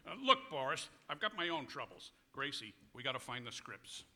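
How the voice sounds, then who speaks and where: A man's voice: mid-range voice, big pitch swings, moderate pace, heavy background noise, close to an everyday voice. An actor playing an exasperated straight-man husband in an old-time radio comedy, on an amateur stage recording.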